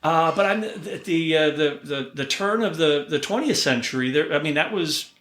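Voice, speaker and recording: A man's voice: fairly high pitch, animated, moderate pace, a bit bright. A man with an American accent hosting a casual interview, eager and curious, with folksy interjections. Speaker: podcast host.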